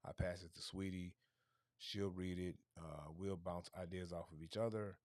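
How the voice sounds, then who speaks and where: A man hosting a casual livestream, talking through his plans in a rambling way and going hoarse. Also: deep voice, steady tone, moderate pace, a little mellow, no echo. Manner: rambling, thinking aloud with restarts. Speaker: livestream host.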